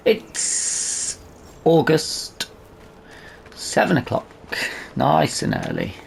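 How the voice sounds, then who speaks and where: A man's voice: a little high, wildly swooping pitch, very slow, gravelly, slight background noise. A man giving casual let's play commentary, hesitant and musing aloud to himself as he hosts a gaming video.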